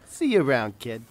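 A woman's voice: rumbling low, a little mellow, heavily acted. A woman playing a breezy, streetwise businessman in a 1920s-style radio drama, slangy and wisecracking, friendly but guarded. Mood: guarded, avuncular.

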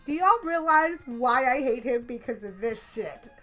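Raspy high-voiced woman gaming on a headset. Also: animated, unhurried, soft background music. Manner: chatty, swears often, loud outbursts at the game. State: a little sick. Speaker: gaming channel host. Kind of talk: casual let's-play commentary.

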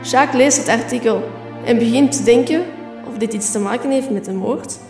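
A woman's voice: on the high side, normal speed, close to an everyday voice. A woman reading scripted narration for a radio play. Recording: echoey room, faint music.

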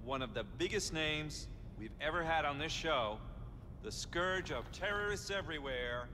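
A man's voice: a little high, lively intonation, moderate pace, noisy background, lightly acted. An actor playing an effusive, fawning male talk show host who gushes emphatically and repeats himself. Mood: showy, gushing. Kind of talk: hammy broadcast talk show presenting.

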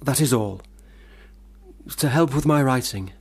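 An actor in a period radio drama playing a boastful but fragile young failed poet, husky, halting and formally earnest. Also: male voice, medium pitch, expressive. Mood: defensive, earnest.

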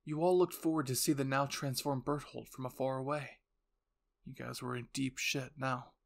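A man's voice: medium pitch, little pitch movement, moderate pace, vocal fry. A man narrating a scripted second-person roleplay story in a dramatic storytelling style, as host.

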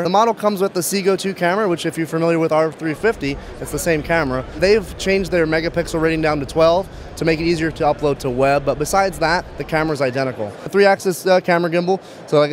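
Quick-talking, higher-pitched man. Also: a little hiss or hum. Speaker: brand manager demonstrating a product. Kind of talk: product demonstration in an interview. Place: busy trade show floor. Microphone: handheld interview microphone.

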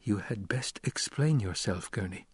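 A man voicing a young nobleman in an audiobook, a fiercely loyal son who speaks with deliberate, commanding intensity and deadly control. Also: on the high side, no echo, studio quality, pitched above the performer's own voice, close to an everyday voice. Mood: deadly quiet. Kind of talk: audiobook character acting.

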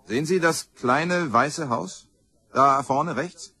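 A man's voice: fairly high pitch, slow, pushed and bright, full character voice. A man playing a chatty, disdainful husband in a crime radio play, talking colloquially and hesitantly. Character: chatty widowed husband, disdainful of his brother. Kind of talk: naturalistic radio drama dialogue. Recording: clear vehicle noise behind.

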